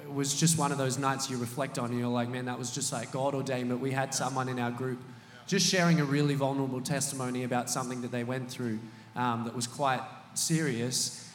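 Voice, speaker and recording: A man's voice: medium pitch, steady tone, fairly quick, hardly any pauses. A man who leads a small church group, sharing stories in a casual panel chat with lots of ums and restarts. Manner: storytelling, hesitant fillers. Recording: echoey hall with long reverb.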